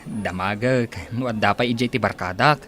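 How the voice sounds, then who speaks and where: A man's voice: lowish voice, normal speed, a bit bright, natural voice. A man acting in a radio drama as a respectful, friendly aide who runs detective errands. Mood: friendly, inquiring. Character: deferential male aide doing detective errands, friendly when asking around.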